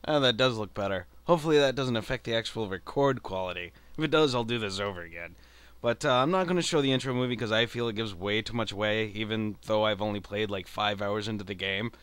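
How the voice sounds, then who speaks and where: A man's voice: fairly low pitch, a bit bright, clean tone, dry recording. A man hosting a let's play, rambling casually and self-deprecatingly as he comments on a video game.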